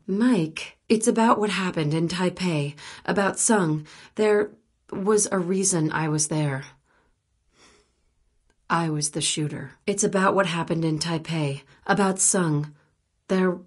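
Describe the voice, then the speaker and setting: A woman's voice: on the low side, breathy edge. An actress playing a cool, wry, self-possessed woman photojournalist and sniper in a video game. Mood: earnest, subdued. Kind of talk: video game voice acting, naturalistic dialogue.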